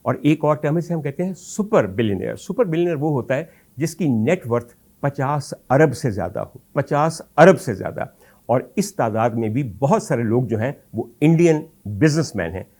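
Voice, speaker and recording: A man with a Pakistani accent hosting a talk show, delivering a rhetorical monologue with deliberate emphasis, addressed straight to his audience. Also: mid-range voice, a little mellow. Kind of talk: monologue commentary addressed to audience, mixing Urdu and English.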